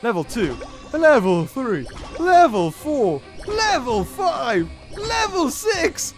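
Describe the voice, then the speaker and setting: A young man giving excitable gameplay commentary, often shouting and laughing. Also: very high voice, slow pace, faint music behind. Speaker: gaming youtuber.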